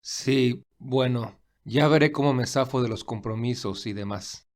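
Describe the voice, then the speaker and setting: A man playing a reserved young millionaire in an audio story, speaking in a measured, earnest, formal way. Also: mid-range voice, natural voice. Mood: weary. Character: William, reserved young millionaire, weary and principled.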